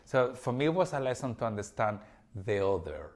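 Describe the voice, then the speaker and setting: A man's voice: medium pitch, brisk. A man from Spain with a Spanish accent, co-hosting a casual podcast chat and telling stories emphatically. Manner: animated, emphatic storyteller. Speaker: podcast co-host.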